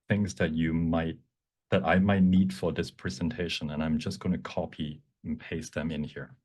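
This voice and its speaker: Low-pitched man presenting a software demo. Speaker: workshop presenter. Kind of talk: live software demonstration talk. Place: online presentation at a computer.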